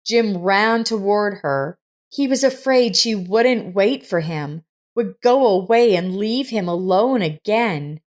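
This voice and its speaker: A woman reading a scripted story as narrator, in a measured, deliberate manner. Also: medium pitch, lively intonation.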